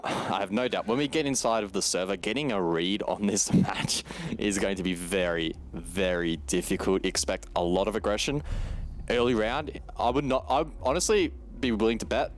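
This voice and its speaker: A man delivering live esports commentary, analytical and carefully worded. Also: on the low side. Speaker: esports match caster. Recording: game gunfire noise behind voice. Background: video game gunfire and ambience.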